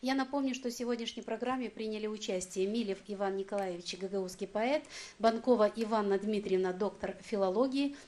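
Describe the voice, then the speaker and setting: A woman hosting a TV programme, moderating in a brisk, insistent manner. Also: medium pitch, darkish tone. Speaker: TV programme host. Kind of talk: broadcast moderating.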